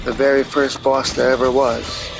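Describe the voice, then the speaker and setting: A man's voice: medium pitch, level tone, moderate pace, creaky, low-quality recording. A man giving casual, informal commentary over video game cutscenes, explaining things like a fan and swearing freely. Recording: game soundtrack music behind the voice. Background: video game cutscene music.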